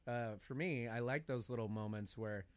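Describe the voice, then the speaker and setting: A man chatting casually as a longtime music fan, repeating words for emphasis in an animated, opinionated way. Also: medium pitch, moderate pace, clear, resonant tone. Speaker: podcast speaker. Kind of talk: casual chat about concerts as a fan.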